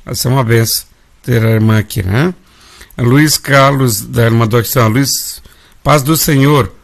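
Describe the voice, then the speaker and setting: Brazilian gospel radio host, folksy. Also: male voice, mid-range voice, unhurried, creaky. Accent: Brazilian Portuguese. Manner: warm, folksy, calls listeners "family". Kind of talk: live gospel radio chat.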